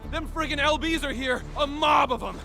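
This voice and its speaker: An actor playing a panicked, folksy camp lookout in a video game, shouting frantically in colloquial speech. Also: male voice, very high voice, moderate pace, gravelly, faint music behind, exaggerated voice. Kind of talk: dramatic video game cutscene acting.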